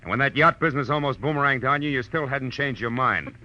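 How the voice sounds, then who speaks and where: An actor playing a tough, wry private eye in a 1940s radio crime drama, speaking in clipped slang on an old recording. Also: male voice, medium pitch, animated, fast talker, pushed and bright, lo-fi sound. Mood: hard, accusing. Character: hard-boiled male private eye, wry, tough and insistent. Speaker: detective and first-person narrator.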